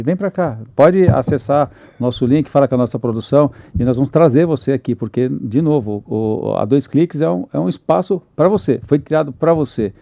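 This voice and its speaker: Gravelly, fast-talking enthusiastic male host. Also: medium pitch, warm tone, no room sound. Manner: enthusiastic, rambling, full of restarts. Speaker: podcast host. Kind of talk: podcast host introduction.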